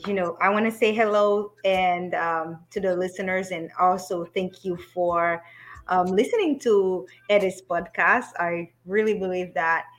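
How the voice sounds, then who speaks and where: A middle-aged woman from Haiti speaks as a podcast guest. She starts and restarts her sentences, fills the gaps with ums and laughs easily. She keeps the relaxed tone of a casual interview. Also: fairly low pitch. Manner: hesitant, many ums, quick to laugh. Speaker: podcast guest, business coach.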